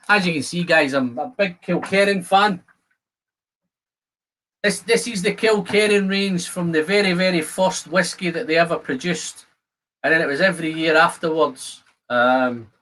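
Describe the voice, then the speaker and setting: A man's voice: a little high, fairly bright tone. A man in his fifties with a Scottish accent, chatting casually to viewers as the host of a live stream. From Scotland. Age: 50s. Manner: chatty, addresses viewers by name.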